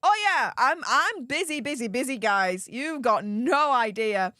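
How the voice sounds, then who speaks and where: A woman's voice: fairly high pitch, lively intonation, measured pace, bright, forceful tone, no echo, clean studio sound. A woman with a Yorkshire accent hosting a live stream, reading out donations with perky, gushing enthusiasm. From Yorkshire, England.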